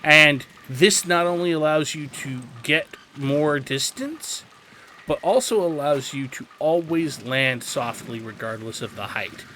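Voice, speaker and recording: A man's voice: on the high side, measured pace, bright, forceful tone, poor audio. A man giving tutorial commentary over gameplay in an explanatory, conversational way. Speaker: gaming video host. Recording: faint game noise behind.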